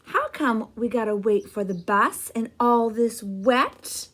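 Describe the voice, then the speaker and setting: A young boy from a children's storybook, acted out by a woman reader. He speaks high and plaintive, full of complaining questions and curiosity. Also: slow, fairly bright tone. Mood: plaintive, curious. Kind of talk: children's storybook read-aloud.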